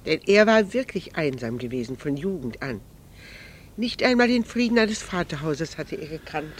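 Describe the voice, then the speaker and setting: An actress in a German radio drama playing a proud, candid, melancholy married woman, speaking in a measured, formal and earnest way. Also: low voice, lively intonation, slight background noise. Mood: candid, wistful.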